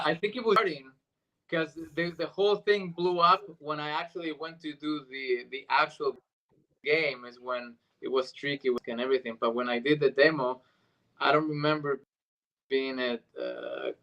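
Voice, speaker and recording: A man's voice: medium pitch, fairly bright tone, dull audio. A man from Argentina, a native Spanish speaker, telling stories casually as an interview guest. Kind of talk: casual chat, storytelling.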